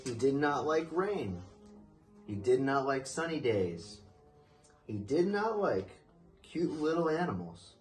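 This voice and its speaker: Young male storybook reader, lively pitch, unhurried delivery, music underneath. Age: young adult. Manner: expressive, deliberate storytelling. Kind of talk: children's picture book read-aloud. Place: living room, indoors.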